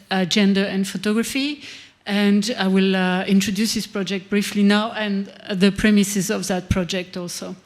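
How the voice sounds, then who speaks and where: A woman moderating a panel, thanking the speaker and tentatively introducing her own presentation. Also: medium pitch. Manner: polite, tentative, with fillers. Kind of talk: panel talk.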